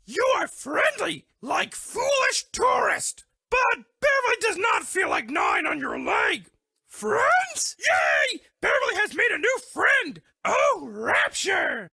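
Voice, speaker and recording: Squealing, gravelly girl bear. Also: very high voice, huge pitch swings, measured pace, pushed and bright, dry recording, raised voice, studio quality, heavily acted. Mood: shy, fond. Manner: broken third-person English, dramatic and gushing. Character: sweet dramatic lovestruck female bear, talking in broken English. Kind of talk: cartoon dating game character lines.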